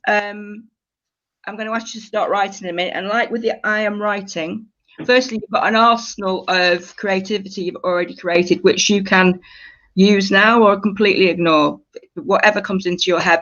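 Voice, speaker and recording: Woman, workshop leader, bright forceful tone, encouraging, informal instruction, slightly dull lo-fi audio. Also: mid-range voice, steady tone, moderate pace. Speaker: creative writing workshop leader.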